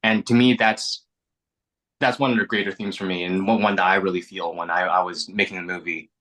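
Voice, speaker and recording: A man's voice: low-pitched, fairly even intonation, fairly quick, pushed and bright, poor audio. A young adult actor from Canada whose first language was Mandarin, talking earnestly and reflectively in an interview, often restarting his words. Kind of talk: casual interview chat.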